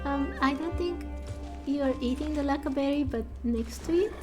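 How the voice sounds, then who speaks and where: A woman's voice: high-pitched, moderate pace. A woman streamer giving casual, playful, giggly commentary as she plays through a game. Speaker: let's play streamer. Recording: music behind the voice. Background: music.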